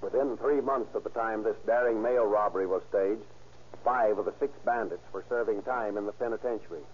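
A man's voice: lowish voice, brisk. A man reading formal, scripted 1930s radio narration as a police chief. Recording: dull, faintly noisy vintage radio recording.

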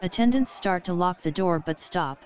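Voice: medium pitch, moderate pace. A narrator reading out stage directions for an audio play in a scripted style. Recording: dull, echoey sound, faint crowd.

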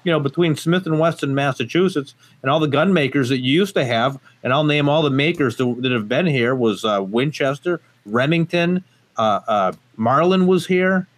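A man's voice: on the high side, moderate pace. Man, podcast guest, from Portugal, emphatic, frequent fillers, casual interview. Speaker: gun company guest.

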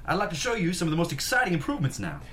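A man's voice: high-pitched, sing-song, very fast, pushed and bright, a little hiss or hum, exaggerated voice. A man playing a grand, proud industrialist in a table read of a period drama. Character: grand, proud industrialist, commanding railway tycoon. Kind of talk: table read acting, public speech.

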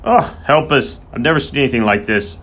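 A man's voice: fairly low pitch, animated, fairly quick, a bit bright, slightly rough. A man voicing a sneering, bad-tempered horse-keeper in an audiobook, snarling and sarcastic. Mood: scornful, impatient.

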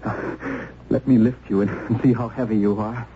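An actor playing a big, devout monk with an earnest, urgent, halting delivery in an old-time radio drama. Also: male voice, lowish voice, steady tone, close to an everyday voice. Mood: urgent, tender. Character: large, strong, devout monk smuggling out a condemned girl. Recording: muffled, noisy old radio recording.

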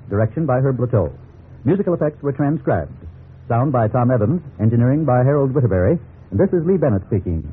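A male announcer delivers scripted lines in 1950s radio style, with a wide swing in pitch. The recording is thin and tinny. Music plays faintly behind him.

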